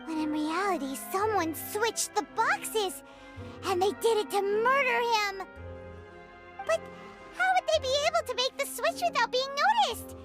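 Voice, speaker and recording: A tiny childlike game fairy, extremely high and squeaky. She is plucky and inquisitive, with animated swoops in pitch over clear background music. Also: unhurried. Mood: curious, probing. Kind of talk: video game voice acting.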